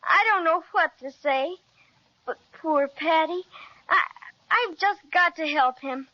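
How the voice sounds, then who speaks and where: A sweet, eager little girl speaks very high and bright. She is earnest and exclamatory in old radio serial style, on a dull vintage recording. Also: unhurried, full character voice. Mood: pleading. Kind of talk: 1930s children's radio serial acting.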